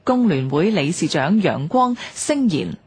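A woman radio presenter with a Hong Kong Cantonese accent, reading a scripted documentary in a formal, measured way. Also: on the low side, very animated, a little mellow, slightly rough, no echo.

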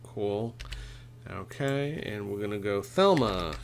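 A middle-aged man narrating a step-by-step software tutorial in an informal, explanatory way, thinking aloud as he goes. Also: on the low side, lively intonation, slow pace, vocal fry, slight background noise. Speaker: laundry equipment expert, tutorial presenter.

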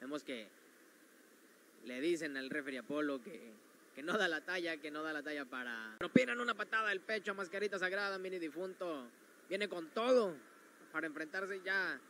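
A man calls wrestling moves in real time, his voice high and bright. He names each kick and dive as it lands. Faint arena noise runs behind him. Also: expressive, moderate pace. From Mexico. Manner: calling moves as they happen. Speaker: wrestling commentator. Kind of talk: play-by-play commentary. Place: university gym arena.

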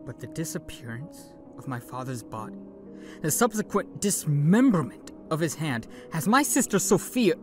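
A man playing an earnest crime-family son in a comic book fan dub, halting and placating. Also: on the high side, big pitch swings. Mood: placating, uneasy. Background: music.

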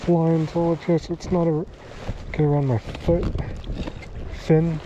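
A young man's casual outdoor commentary, spoken half to himself in a slightly high voice. The sound is dull and muffled, as if from a head-mounted action camera. Steady wind rushes across the microphone throughout. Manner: relaxed, talks to himself between catches. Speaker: fly fishing vlogger. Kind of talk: casual running commentary while fishing. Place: float tube on a windy reservoir outdoors.

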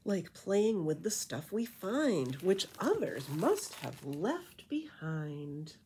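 A middle-aged woman reads a children's picture book aloud as a librarian, with rhythmic, animated storytelling and casual asides. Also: fairly low pitch, slow pace, a little hiss or hum. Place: indoor room with painted wall.

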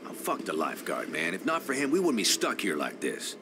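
A man's voice: deep voice, wildly swooping pitch, brisk, noisy background. An actor playing a pragmatic, team-minded male survivor in a video game, informal and insistent. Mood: frustrated, insistent. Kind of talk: video game cutscene acting.

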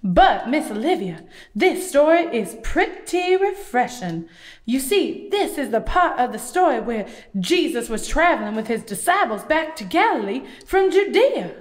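A woman's voice: high voice, very animated, measured pace. A woman voicing a friendly, cheerful puppet who tells Bible stories to children in a folksy, encouraging way. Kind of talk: children's puppet show dialogue.

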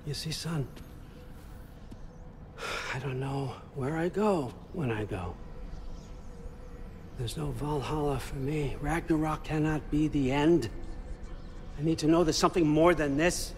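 A man playing a sly, grandfatherly one-eyed god-king in a video game, with a folksy, theatrical storyteller's delivery over loud music. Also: on the high side, a little slow, pauses often, background noise. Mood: grave, confiding. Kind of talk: video game character acting.

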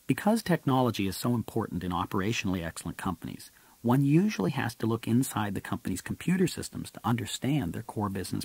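A man narrates a nonfiction audiobook in a fairly low, husky voice with noticeable fry. His intonation moves around a lot as he stresses key points in an explanatory, scripted read. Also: no room sound. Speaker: author reading own audiobook.

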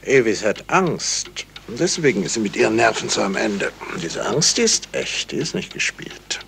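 An actor playing a thoughtful, suspicious amateur sleuth in a deliberate 1970s German radio crime drama style. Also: male voice, on the low side, very animated, vocal fry, fairly bright tone, exaggerated voice. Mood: pondering. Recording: hissy old radio play recording.

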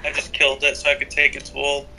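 A woman's voice: sits low, completely flat delivery, fast, over music, low-quality recording. A woman talking casually as a player in an in-game voice chat. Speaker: gamer in a group roleplay.

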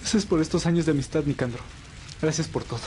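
A man's voice: fairly high pitch, quick pace, natural voice. An earnest vampire played by a man pleads dramatically with his old friend in an audio drama. He is loyal and anguished, with faint noise behind him. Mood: resolute, regretful. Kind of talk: amateur Spanish audio roleplay drama.

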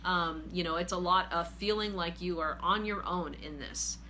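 A woman in her forties hosting a casual money-advice talk from her living room couch, earnest and steady. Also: on the low side, normal speed, a little hiss or hum. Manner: earnest, steady, reads viewer comments aloud. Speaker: host, finance group leader.

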